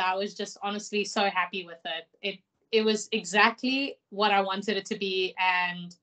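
A woman's voice: mid-range voice, a bit bright. A young woman from South Africa talks in an easy, enthusiastic way, full of casual fillers. She is on a video call from a small room and picked up by a laptop mic. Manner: chatty, enthusiastic, many "like" fillers. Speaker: singer guest.

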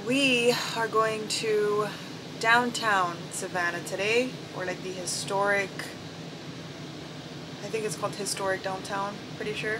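A young woman vlogs in a casual, informal way, with a medium-pitched voice. Her thoughts tend to trail off as she talks to a handheld camera. There is clear ambient noise behind her. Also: steady tone, unhurried. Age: young adult. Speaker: vlogger. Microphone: handheld camera at arm's length.

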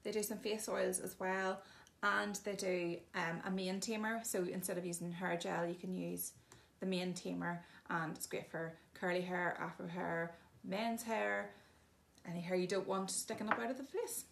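A woman's voice: on the low side, fairly even intonation, normal speed, creaky. A woman giving a chatty, casual demonstration of bathroom products, explaining each one plainly with frequent ums. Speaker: shop presenter showing products.

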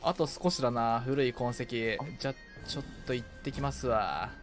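A man casually chatting and reacting aloud as he plays a video game with a friend, excitable in manner. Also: medium pitch, normal speed. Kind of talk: casual co-op gaming chat. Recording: game music behind the voice. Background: video game music.

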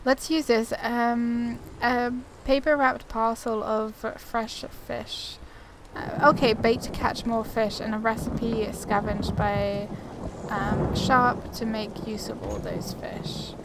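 A woman's voice: a little high, little pitch movement, slow pace, noisy background. A woman gamer chatting casually as she plays and reads game text aloud. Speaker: gamer playing and commenting.

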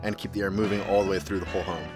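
A man's voice: low voice, steady tone, rapid-fire, over music. A man in his thirties casually narrating a home-build vlog, explaining technical details in an upbeat way, filmed on a close handheld camera. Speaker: DIY home-build vlogger. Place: attic, outdoor deck and indoor rooms of a barn home. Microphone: handheld action camera close up.

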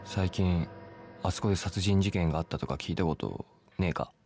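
Hard young street brawler, low pitch, blunt slangy talk, game cutscene, music behind. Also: male voice, moderate pace, mellow. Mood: brooding, probing. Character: tough, brusque young male street fighter, hot-headed but caring. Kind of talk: dramatic video game cutscene acting.

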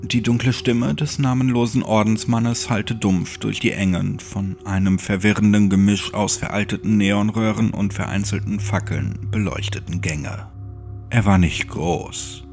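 A man narrates a creepypasta audiobook in a low, gravelly voice. The delivery is scripted, brooding and dramatic, and soft music runs underneath. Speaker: horror story narrator.

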